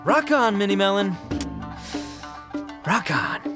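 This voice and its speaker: A brash young gang boss who talks like a rowdy rocker. His cocky, theatrical delivery swoops widely up and down, with game music clearly behind him. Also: sits high, lively intonation, takes it slowly. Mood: dramatic resignation. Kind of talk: animated video game voice acting.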